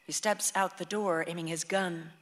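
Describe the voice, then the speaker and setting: A woman's voice: lowish voice, steady tone, normal speed, fairly bright tone. A woman reading scripted stage directions as narrator of a radio play. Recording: reverberant hall sound.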